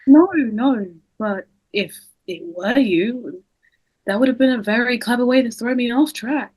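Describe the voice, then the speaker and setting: A woman's voice: on the high side, measured pace, darkish tone, breathy edge, low-quality recording. A woman playing a wary, suspicious teenage girl in an amateur table read of a drama.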